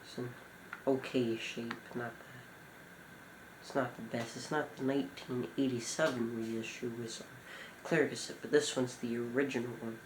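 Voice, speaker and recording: A man giving an informal show-and-tell commentary as a collector, talking in short, halting phrases. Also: mid-range voice, little pitch movement. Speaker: cassette collector presenting a collection. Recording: echoey room with clear background noise.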